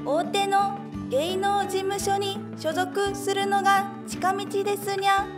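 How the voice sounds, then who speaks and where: A woman's voice: very high voice, level tone, slow pace. A woman voicing a cute cat-shaped smart speaker in an audio drama, adding a cat-like "nya" to her sentences. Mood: cute, helpful. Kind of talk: radio drama acting. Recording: with background music.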